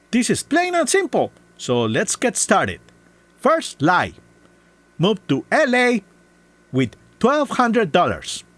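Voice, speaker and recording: A man's voice: high-pitched, a bit bright. A man with a heavy foreign accent reading a scripted rebuttal, listing claims one by one with scorn. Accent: non-native English. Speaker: commentary video maker.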